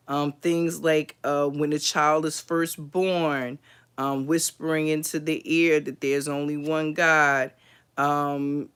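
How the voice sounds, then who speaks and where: Middle-aged woman, livestream book host, slow and reflective, clean resonant tone. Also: sits low, clean tone. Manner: unhurried, thoughtful, many fillers and restarts. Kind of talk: casual livestream book talk.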